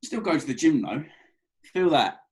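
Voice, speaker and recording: A man reading the part of an awkward, eager young man in a comedy script table read, with a hesitant, earnest delivery and a husky voice. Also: fairly high pitch, lively intonation, normal speed. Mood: boastful. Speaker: actor in a script read-through. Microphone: video call.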